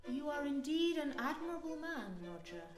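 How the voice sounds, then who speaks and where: Echoey secretive opera heroine, high voice, guarded, orchestra behind her. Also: very animated, moderate pace, over loud music. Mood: solemn. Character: secretive, guarded young woman, proud and sorry. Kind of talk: slow operatic radio drama, partly sung.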